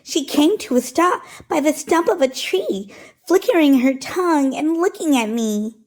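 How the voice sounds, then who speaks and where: A woman hosting storytime, reading a rhyming picture book aloud in an expressive, rhythmic, sing-song way. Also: sits high, a little slow, rough recording.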